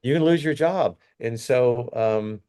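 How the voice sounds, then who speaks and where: A man's voice: mid-range voice, measured pace, warm tone. A middle-aged man, a company executive, giving earnest, rambling interview answers full of restarts and fillers.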